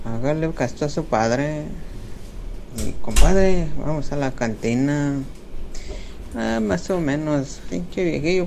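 A man putting on a rough, slangy young street character who rambles crudely in a comic monologue. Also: fairly high pitch, a little mellow, slight background noise.

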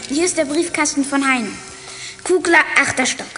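A woman playing a plucky, determined youngster from a detective gang in a radio play, forceful and cheeky. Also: high voice, moderate pace, faint music behind. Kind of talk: 1980s German children's radio play acting.

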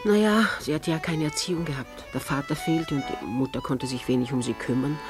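An actress playing a frail, kindly old widowed shopkeeper and mother, measured and homely, in old radio drama style. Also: sits low, lightly acted. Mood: confiding. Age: older. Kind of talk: 1950s-style German radio play acting. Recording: old radio play with music behind.

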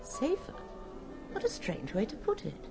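Animated, forthright English nurse. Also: female voice, mid-range voice, a little slow, gravelly, music in the background, heavily acted. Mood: cautious, practical. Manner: direct, probing questions. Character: forthright, practical English nurse, cautious and questioning. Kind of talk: radio drama acting.

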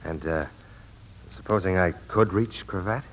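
An actor in a 1940s radio drama playing a guarded, terse war veteran searching for answers. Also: male voice, low voice, measured pace, low-quality recording. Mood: guarded, wry. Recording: old radio recording with background noise.

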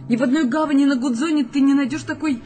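A woman's voice: high-pitched, fast, mellow, a little hiss or hum, lightly acted. An actress playing an anxious, devoted woman photographer in an audio drama. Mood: uneasy.

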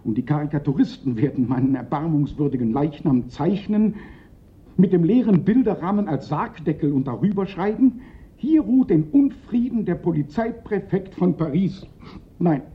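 Man playing a highly animated, fretful police prefect. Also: moderate pace, lightly acted. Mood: desperate, pleading. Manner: theatrical, exasperated, lamenting. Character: fretful, self-pitying Paris police prefect, panicky and melodramatic. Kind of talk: German radio play acting. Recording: dull, lo-fi radio play recording.

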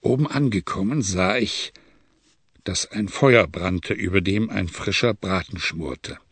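A man narrating an adventure story in the first person, in a measured, grave manner on a close studio mic. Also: deep voice, a bit bright, husky, no room sound.

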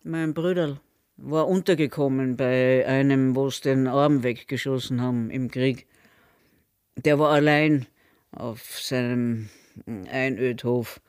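Casting: man playing grave Bavarian storyteller, slow halting delivery, mid-pitched, dry studio sound. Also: steady tone, a little mellow, clear, resonant tone, dry recording, natural voice. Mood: reflective, grave. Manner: measured, halting phrasing. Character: reflective camp escapee recounting the past. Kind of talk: radio drama narration.

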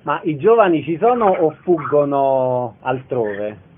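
A man with a fairly high voice hosts a radio interview. He speaks in brief questions and introductions, and the sound is thin and narrow like a telephone. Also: big pitch swings, slow, a little hiss or hum.

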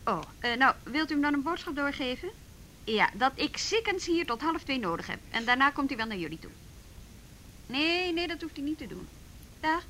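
An actress playing a brisk, dutiful juvenile police officer in a naturalistic, matter-of-fact radio play style. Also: female voice, high-pitched, big pitch swings, pushed and bright, heavily acted, low-quality recording. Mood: businesslike. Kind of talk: Dutch radio play acting, naturalistic dialogue. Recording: faint background noise.

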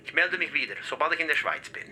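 An actor playing a formal, businesslike Canadian lawyer calling by phone in a radio drama. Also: male voice, sing-song, fast talker. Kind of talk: radio play phone dialogue. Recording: harsh phone line with rumble.

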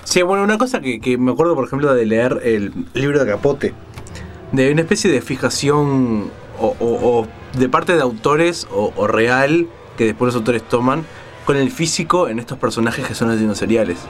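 A man chats casually as a radio co-host. Soft music plays behind him. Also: medium pitch, animated, normal speed. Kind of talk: casual chat.

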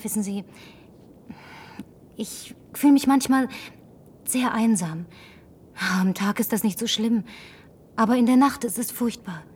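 An actress playing a brash, lonely survivor in a German science-fiction radio play, speaking in a brisk, sardonic, bossy way. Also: female voice, a little high, a little mellow, husky, distant mic. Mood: confiding, coaxing. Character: brash, lonely woman, last woman in deserted New York.

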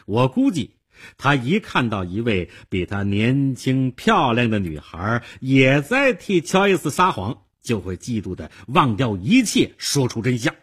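Give a shrewd male police detective, voiced by a storyteller. He is composed and knowing, speaking slowly in a husky voice with very animated intonation, as in a crime audiobook. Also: mid-range voice, exaggerated voice. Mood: knowing, explaining. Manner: measured, knowing.

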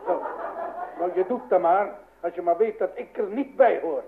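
A man's voice: sits high, moderate pace. An actor playing a grumbling, jealous old husband and father in a radio play, stammering and repeating himself. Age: older. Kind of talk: Dutch radio play acting. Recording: tinny band-limited old radio recording, noisy.

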